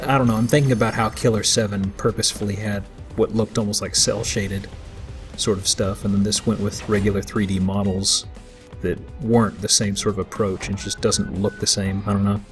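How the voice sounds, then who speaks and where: A man's voice: fairly low pitch, level tone, a little fast. A man giving casual, joking let's play commentary with dry wordplay as he plays. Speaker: let's play host playing the game. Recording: game music behind commentary. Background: video game music.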